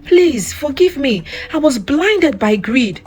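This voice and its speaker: A woman's voice: high voice, very animated, takes it slowly, pitched above the performer's own voice, exaggerated voice. Greedy village chief voiced by a woman. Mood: pleading. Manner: haughty and desperate. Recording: music under the voice.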